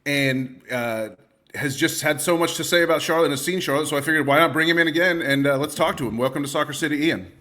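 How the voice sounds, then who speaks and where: A man's voice: a little high, a bit bright. A man hosting a casual soccer podcast in a loose, joking way. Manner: casual, lots of uh fillers, jokey. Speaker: podcast host.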